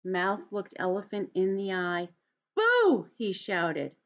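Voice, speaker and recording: A woman reading a children's storybook aloud in an expressive, deliberate way, like a teacher addressing young students. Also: lowish voice, dull audio.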